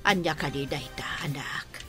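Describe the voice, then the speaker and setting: A woman's voice: low-pitched, measured pace, fairly bright tone, faint music behind. A woman with an Ilocano accent playing a worried, exasperated middle-aged mother of a grown son in a radio drama. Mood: concerned.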